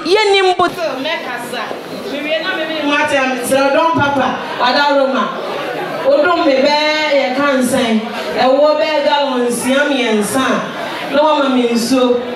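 A woman's voice: high voice, unhurried, a crowd in the background. A woman with a Ghanaian accent, a native Twi speaker, hosting a party as master of ceremonies and mixing languages in an emphatic, exclamatory way. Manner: exclamatory, emphatic, repeats phrases. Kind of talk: live event hosting, mixing local language and English. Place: party venue, amplified to guests. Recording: echoey PA at a crowded event.

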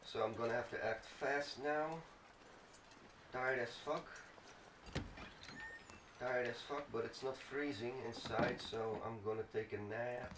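A man hosts a casual let's play, reacting to the game with muttering and swearing. His voice sits at a middling pitch, away from the mic in an echoey room. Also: steady tone, measured pace, long pauses. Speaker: gaming video host. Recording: roomy, distant mic, clear background noise.

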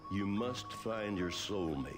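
Man playing a deep, solemn coyote spirit. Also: expressive, music in the background. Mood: solemn, cryptic. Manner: solemn, measured pronouncements. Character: wise, mystical coyote spirit guide, solemn and cryptic. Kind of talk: animated video game cutscene acting.

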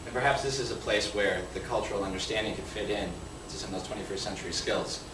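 A man's voice: on the low side, fast. A man co-presenting at a conference, partly reading aloud from his slides. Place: conference session room. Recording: very echoey noisy room, distant microphone.

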